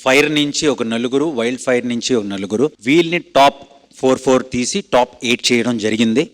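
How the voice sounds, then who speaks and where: A man's voice: mid-range voice, fast talker. A man speaking as a dance show judge, announcing competition rules on stage with music behind him. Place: TV studio stage.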